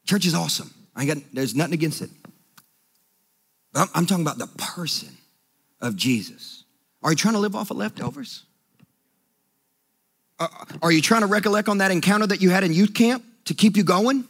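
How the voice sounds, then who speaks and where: A man preaching a sermon with emphatic, sing-song delivery that sometimes drops to a low, hushed voice. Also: lively intonation, brisk, vocal fry, pauses often, husky. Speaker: pastor.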